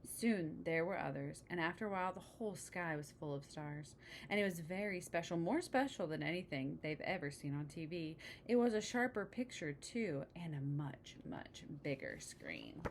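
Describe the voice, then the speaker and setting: A woman reading a children's picture book aloud, pausing for chatty asides and questions to the listener. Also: sits low, big pitch swings, slight background noise. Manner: engaging, asks the listener questions. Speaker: storybook reader.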